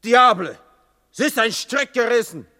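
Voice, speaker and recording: An actor playing an agitated, excitable coachman in a radio play, shouting. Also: very high voice, a little slow, in a large hall, full character voice. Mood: agitated, urgent. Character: agitated coachman urging horses, shouting about breakdowns.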